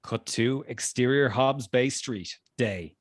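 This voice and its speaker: A man's voice: mid-range voice, slow, dry recording, studio quality. A man reading screenplay stage directions aloud as narrator in a scripted table read.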